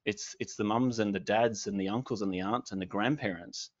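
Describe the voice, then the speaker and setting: A man speaks passionately and emphatically at a launch event, his voice a little low. He often doubles back on his words as he presses his points. The sound is slightly dull. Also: brisk. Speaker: advocate speaker. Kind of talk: public speech at a launch event.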